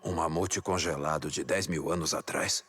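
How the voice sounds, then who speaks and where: A terse courier hero in a Brazilian game dub. The voice is very low, skeptical and sparing with words, with music clearly playing underneath. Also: male voice, steady tone, normal speed. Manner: laconic, questioning. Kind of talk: dubbed video game cutscene acting. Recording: loud music behind voice.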